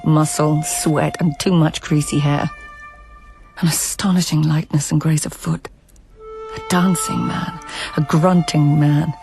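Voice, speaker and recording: A woman's voice: sits low, a little mellow. A woman playing a composed, watchful court lady in a radio drama, speaking with poetic restraint. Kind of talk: radio drama narration and acting.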